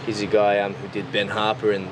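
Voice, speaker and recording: Low-voiced Australian man, noisy recording. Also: monotone, brisk. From Newcastle, Australia. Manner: informal, rambling, frequent "like" and "you know". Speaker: musician, interview guest. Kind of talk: casual conversational interview.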